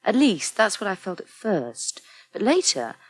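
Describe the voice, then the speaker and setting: An actress playing a frank, reassuring married counsellor in a naturalistic radio soap, talking conversationally. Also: female voice, mid-range voice, very animated, unhurried.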